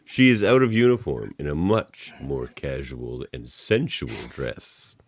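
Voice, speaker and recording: A man's voice: a bass voice, big pitch swings, measured pace, no echo. A man narrating a radio play script in a playful, tongue-in-cheek way. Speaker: podcast narrator.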